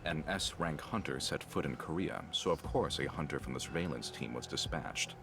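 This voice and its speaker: A very deep, gravelly stern male agent from a video game. He speaks formally and dutifully, with measured courtesy, under loud music. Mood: formal, probing. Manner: formal, measured, polite address. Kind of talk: dramatic game cutscene acting.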